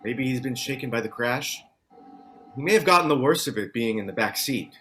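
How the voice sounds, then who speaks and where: A man's voice: mid-range voice, animated, fairly quick, sharp tone, slight background noise. A man at a table read playing a practical, worried man stranded after a crash. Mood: grave, concerned. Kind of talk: table read of a TV pilot script.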